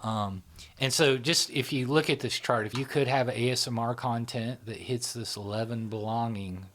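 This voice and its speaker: A man's voice: medium pitch, steady tone, normal speed, a bit bright. A middle-aged man talking casually in an interview, rambling and often checking in with "right?" and "you know?". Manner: rambling, filler-heavy, keeps asking "right?" and "you know?". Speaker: psychology channel founder and host.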